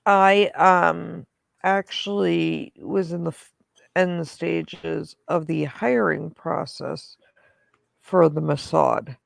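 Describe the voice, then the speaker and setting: A woman hosting a casual stream chat, telling stories and quoting herself in quick asides. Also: fairly low pitch, fairly even intonation, vocal fry, no room sound. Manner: chatty storyteller, quotes herself in asides. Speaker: stream host.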